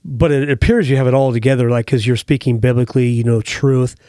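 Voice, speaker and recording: A man's voice: mid-range voice, steady tone, fast, dark tone, no echo. A man hosting a podcast interview in a studio, chatty and friendly, with frequent fillers. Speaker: podcast host. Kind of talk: casual interview chat. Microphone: studio mic.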